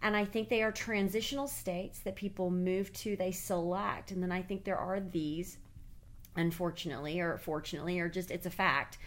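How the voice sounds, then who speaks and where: A woman's voice: on the low side, steady tone, moderate pace. A woman from Tennessee, chatting about politics in a rambling, hedging way. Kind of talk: casual political chat.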